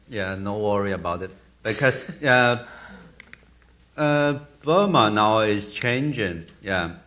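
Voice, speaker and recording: A man in his thirties with a Burmese accent answers questions on a theater stage. He speaks slowly and haltingly, in a fairly low voice, and often restarts his sentences. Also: expressive, bright, forceful tone. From Myanmar. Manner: halting, searching for words, with frequent restarts. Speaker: film director.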